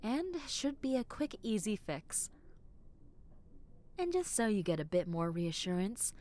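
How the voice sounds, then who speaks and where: A young adult woman voicing a kind, caring dentist in an audio roleplay, speaking softly and reassuringly, often dropping into a whisper, with a playful, teasing edge. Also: fairly low pitch. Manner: soft, gentle and reassuring, slipping into whispers; playful, with frequent soft giggles. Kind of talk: ASMR roleplay.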